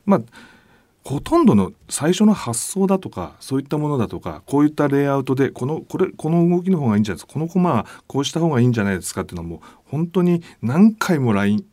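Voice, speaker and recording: A man with a medium-pitched, lively voice, talking quickly in a dry, close recording. He is a polite guest explaining his work in casual conversation. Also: no room sound. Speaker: guest, illustrator. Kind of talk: casual interview chat.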